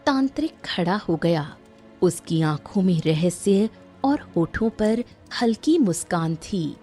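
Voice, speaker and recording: A woman narrator giving dramatic scripted narration for a cartoon story, in a husky, dark voice. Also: on the low side, lively intonation, takes it slowly, faint music behind.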